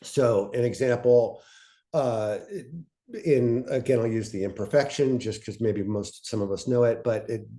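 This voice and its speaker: Guy on a panel, soft mellow tone, chatting through his points step by step, off the cuff. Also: mid-range voice, steady tone, unhurried. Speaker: panelist presenting on fiction podcast pitching. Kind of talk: casual unscripted workshop talk.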